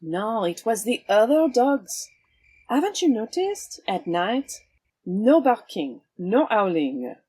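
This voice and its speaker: Swashbuckling vigilante woman, voiced by an actress: cool and sly, lively swings of pitch, slightly rough voice. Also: no echo, exaggerated voice. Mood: wry, confident. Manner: sly, teasing, unhurried, theatrical. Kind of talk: audio drama acting.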